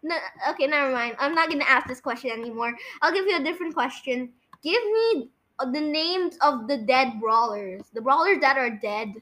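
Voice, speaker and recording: A young podcast host with a high, bright, forceful voice. The delivery is casual quiz chat with a bossy, correcting edge, like a kid running the show. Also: moderate pace, few pauses.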